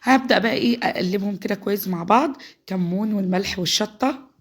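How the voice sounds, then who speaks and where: A woman's voice: medium pitch, quick pace, slightly rough. An Egyptian woman with a cold, chatting through a home cooking tutorial step by step. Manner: chatty, talks viewers through each step. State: voice changed by a cold. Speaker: home cook. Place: home kitchen.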